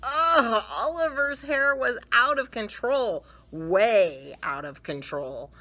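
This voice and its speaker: A woman reading a picture book aloud to children in a theatrical way, with dramatic emphasis and drawn-out words. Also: on the high side, expressive, bright, forceful tone. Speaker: children's story reader.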